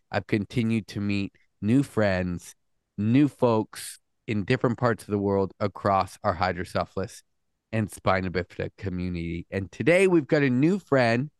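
A man's voice: lowish voice, clean tone, no room sound, clean studio sound. A man hosting a podcast interview, earnest and chatty, with frequent restarts and "mm" sounds. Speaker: podcast host. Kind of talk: casual interview chat.

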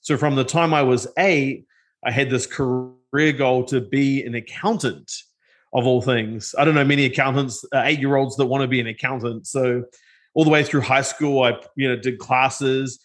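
A middle-aged man from New Zealand with a New Zealand accent, telling his story as a guest in a casual interview, relaxed and self-deprecating. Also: mid-range voice, normal speed. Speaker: guest, tech company co-founder.

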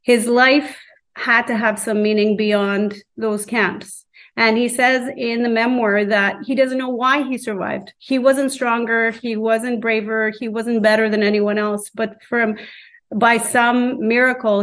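Earnest woman teacher, mid-pitched voice. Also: little pitch movement. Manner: earnest, deliberate. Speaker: high school teacher. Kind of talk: reflective interview talk.

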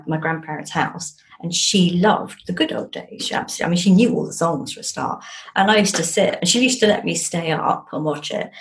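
Animated woman chatting in an interview. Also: on the low side, creaky. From London, England. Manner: animated, emphatic, repeating words for effect. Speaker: author guest. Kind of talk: casual conversational interview.

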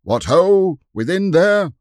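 A man's voice: high-pitched, sing-song, takes it slowly, no echo, clean studio sound, pitched above the performer's own voice, heavily acted. A man voicing a formal medieval herald bellowing a summons. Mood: commanding.

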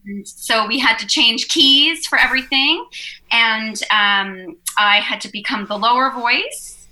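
A woman's voice: a little high, unhurried, pushed and bright, rough recording. A woman, a singer and teacher in a band, chatting in an interview, laughing easily and joking about herself. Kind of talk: casual interview chat.

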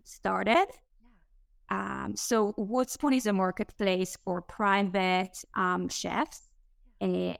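Israeli-accented woman with a clear voice. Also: mid-range voice, no echo, clean studio sound. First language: Hebrew. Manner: fluent but halting, many "um" fillers. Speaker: startup co-founder guest. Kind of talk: podcast interview answers.

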